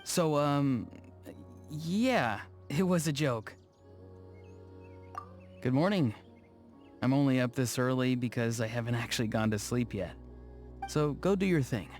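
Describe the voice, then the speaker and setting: A man voicing an awkward, earnest young video game character, speaking in a low-key, hesitant way. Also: animated, unhurried, long pauses, darkish tone, natural voice. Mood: sheepish, grateful. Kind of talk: scripted video game dialogue. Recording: music behind the voice. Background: faint background music.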